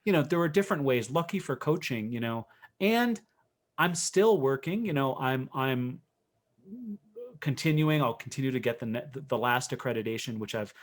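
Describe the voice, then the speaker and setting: A man's voice: medium pitch, animated, normal speed. A man speaking as a guest coach in a conversational interview, thinking aloud and rephrasing as he goes.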